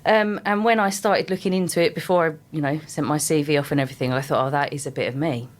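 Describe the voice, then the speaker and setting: A middle-aged woman, a guest on a radio show, speaking with a voice deeper than usual because she is unwell, chatty and enthusiastic. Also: fairly even intonation, quick pace. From England. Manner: chatty, enthusiastic about her work. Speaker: guest, sales and marketing manager. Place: radio studio.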